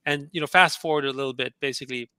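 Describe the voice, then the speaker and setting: A man's voice: mid-range voice, steady tone, rapid-fire, bright, forceful tone, smooth, dry recording. A middle-aged man, originally from Austria with German as his first language, casually telling his story in an interview, chatty with frequent laughs and restarts. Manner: chatty, laughs often, many fillers and restarts. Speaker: guest entrepreneur.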